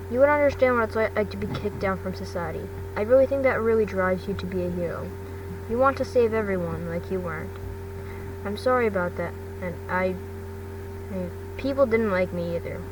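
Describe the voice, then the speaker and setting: A woman's voice: mid-range voice, natural voice. Protective male teacher read by a woman, blunt yet caring, consoling, earnest, fan-fiction audio, distant mic. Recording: distant mic, clearly noisy background.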